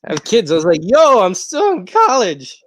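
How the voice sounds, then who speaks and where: Animated young American man chatting casually. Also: sits high, moderate pace, a little hiss or hum. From United States. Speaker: livestream host. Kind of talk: casual chat. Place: rented room at home.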